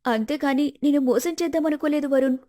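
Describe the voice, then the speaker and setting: A woman performs a scheming young wife in an animated moral story. Her voice is high, and she speaks with melodramatic, pleading false innocence. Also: fast, lightly acted. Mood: tearful pleading.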